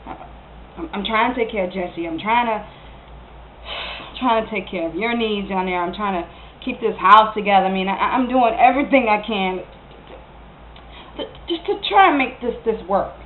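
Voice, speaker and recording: A woman playing a hurt, fed-up young working mother in a stage monologue, with a halting, pleading delivery. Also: medium pitch, slight background noise, pitched below the performer's own voice, natural voice. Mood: weary, hurt. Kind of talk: dramatic stage monologue, naturalistic. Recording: tinny band-limited audio, roomy echo.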